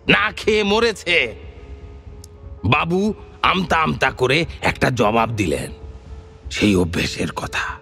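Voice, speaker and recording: A man's voice: mid-range voice, creaky, fairly bright tone, exaggerated voice. An actor playing a rustic male bandit, telling bitter tales in an emphatic, theatrical way, full of rhetorical questions, for an audio drama. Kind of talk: dramatised audio story dialogue.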